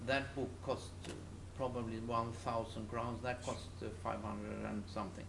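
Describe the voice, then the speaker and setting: Gravelly male lecturer in an echoey room. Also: mid-range voice, fairly even intonation, normal speed. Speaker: lecturer leading a class. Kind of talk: informal classroom talk to students. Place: classroom. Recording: echoey room with clear background noise.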